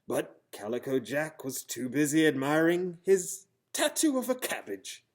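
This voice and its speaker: Male storybook narrator, theatrical, expressive, unhurried, very lively intonation. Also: on the high side. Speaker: storybook reader. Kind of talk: dramatic children's storybook narration.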